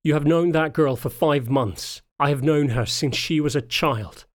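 A man's voice: medium pitch, moderate pace, lightly acted. A man voicing a big, lean, older wolfhound knight in an audiobook, formal and restrained, with strained emotion underneath. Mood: anguished, restrained.

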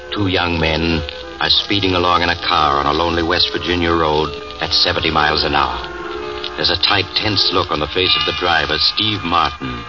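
Sinister radio storyteller, very deep, measured, from a dull old recording. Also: male voice. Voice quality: deep, measured, teasing menace. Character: sinister male storyteller, smooth and ominous. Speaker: narrator-host, the Mysterious Traveler. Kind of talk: 1940s radio drama narration. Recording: muffled old radio broadcast, distant, with music.

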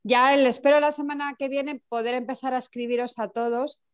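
Spanish woman, director on a video call; direct, pleased, fairly high voice. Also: fairly even intonation, brisk. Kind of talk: casual announcement.

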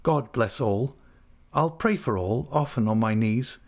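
A man's voice: medium pitch, animated, a little slow, gravelly. A man reading out a remorseful young woman's farewell letter in a storybook, with a sorrowful, pleading, lingering delivery.

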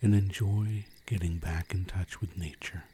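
A man narrating a guided sleep meditation in a slow, soothing, hushed manner with long pauses. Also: low-pitched, steady tone. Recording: campfire and cricket ambience, faint noise. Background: crickets and crackling fire.

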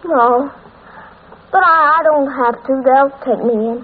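A reluctant little boy, plaintive and childlike. The voice is extremely high and whiny, in old-time radio drama style. It comes through a thin, tinny recording. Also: measured pace. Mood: glum, reluctant. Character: plaintive, reluctant young boy, orphaned brother.

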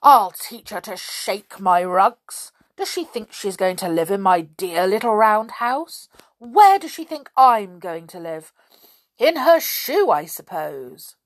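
A woman's voice: sits low, lively intonation, drawn-out words, few pauses, a bit bright, breathy edge, dry recording, full character voice. A woman voicing a hot-tempered, indignant male moon creature in a storybook reading. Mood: furious. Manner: indignant exclamations and muttered rhetorical questions.

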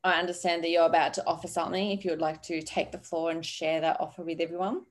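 A woman's voice: lowish voice, level tone, fast. Woman podcast host, affirming. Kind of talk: podcast interview.

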